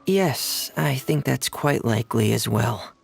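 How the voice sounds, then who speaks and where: A man playing a shy, reserved, gentle teenage boy in a video game cutscene, hesitant, polite and apologetic. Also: medium pitch, animated, unhurried, vocal fry, over music. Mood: thoughtful, apologetic.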